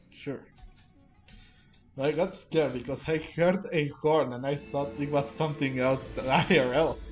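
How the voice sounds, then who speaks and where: A man giving casual, halting game commentary and reactions, often with a chuckle. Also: fairly high pitch. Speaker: gameplay streamer.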